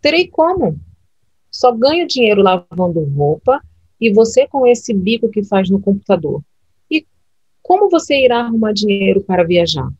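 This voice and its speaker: A woman's voice: mid-range voice, big pitch swings, normal speed, a little mellow, husky, dry recording, dull audio. An actress playing a humble, caring middle-aged mother who questions her grown son, in an audio drama. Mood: puzzled.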